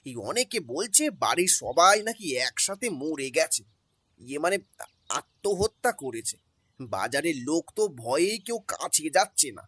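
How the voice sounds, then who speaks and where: Brief: a man doing a husky, bright-voiced servant in a radio drama, breathless and pleading, dry close mic over faint music. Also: a little high, big pitch swings, a little slow, no echo, heavily acted. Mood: urgent. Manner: urgent, stammering, deferential. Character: flustered male household servant, breathless and anxious. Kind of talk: audio drama acting.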